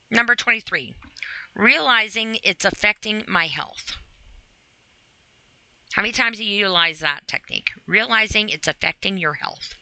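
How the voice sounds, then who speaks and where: A podcast host with a very bright, sharp-edged voice at a medium pitch. The delivery is blunt and informal, moving between reading out a self-help list and commenting on it, with repeated phrases and direct questions to the listener. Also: no room sound, rough recording. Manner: emphatic repetition of phrases.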